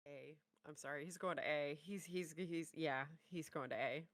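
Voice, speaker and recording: A woman co-hosting casual reactions to game trailers, speaking in a gushing, expressive way. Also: sits low, moderate pace, no room sound, clean studio sound.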